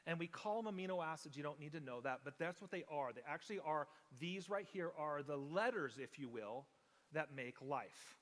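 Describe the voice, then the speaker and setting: Male pastor, slightly higher voice, explanatory teaching sermon, long reverb of a church hall. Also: expressive, moderate pace, a bit bright. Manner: explanatory, checks in with the congregation often. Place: church sanctuary.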